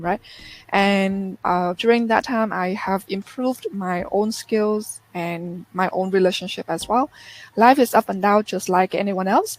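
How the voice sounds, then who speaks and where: Woman in her 30s, thoughtful and sincere, talking over a video call, mid pitch. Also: low-quality recording. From Vietnam. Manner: earnest, reflective storytelling. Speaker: interview guest. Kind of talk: casual interview chat.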